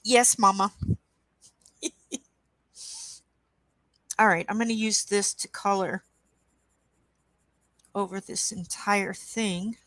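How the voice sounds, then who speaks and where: A woman with a croaky voice hosting a coloring livestream, chatting casually and reading chat aloud at an easy pace. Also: mid-range voice, fairly even intonation, no room sound, poor audio. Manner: slow, unhurried, reads chat aloud with soft asides. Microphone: webcam.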